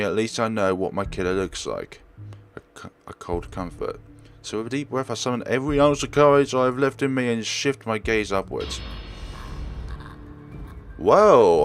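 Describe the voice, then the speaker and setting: A man narrating a horror game as a let's player, reading the script aloud theatrically and adding his own commentary. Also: fairly low pitch.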